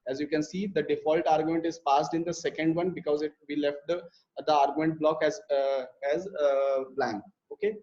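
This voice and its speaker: Halting man teaching code, muffled audio. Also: a little high, steady tone, darkish tone. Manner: hesitant, many fillers and restarts while explaining code. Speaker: programming instructor. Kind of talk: live online coding lesson. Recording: muffled, lo-fi live stream audio.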